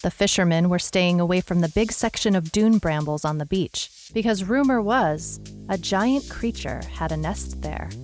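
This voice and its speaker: An actress plays a world-weary female private eye with a low voice. She narrates in a scripted noir audio drama style, dry and deadpan, with wry understatement throughout. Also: normal speed, hardly any pauses, faint music behind, lightly acted. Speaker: narrator, lead actor.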